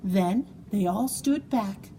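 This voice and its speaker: A woman reading a children's story aloud in an expressive, playful way, with dramatic emphasis and drawn-out words. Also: mid-range voice, slight background noise. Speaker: storybook reader.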